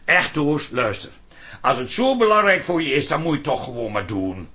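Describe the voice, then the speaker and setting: An excitable bar owner and husband in a comedy radio play. His voice is high and bright, with swooping intonation. He is blunt and exclamatory, and stammers when flustered. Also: moderate pace. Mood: reassuring. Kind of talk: Dutch comedy radio drama acting.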